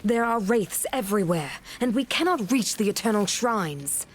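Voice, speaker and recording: A woman playing an imperious, condescending high priestess in a video game, pious and measured. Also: lively intonation, slight background noise, natural voice. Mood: haughty, irritated. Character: haughty, pious high priestess, disdainful of commoners.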